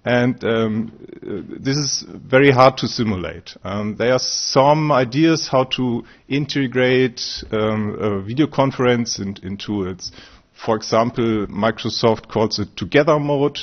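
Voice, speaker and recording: A man from Austria gives a research talk at a conference, pausing often and restarting his sentences. His voice sits at an ordinary middle pitch. The recording sounds slightly dull and muffled. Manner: hesitant, many fillers and restarts. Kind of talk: conference presentation. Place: conference room.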